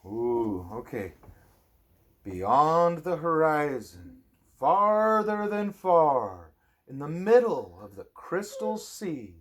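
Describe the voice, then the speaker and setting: A man reading a storybook aloud to a child as a parent, expressively and with drawn-out phrases. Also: on the high side, big pitch swings, fairly bright tone.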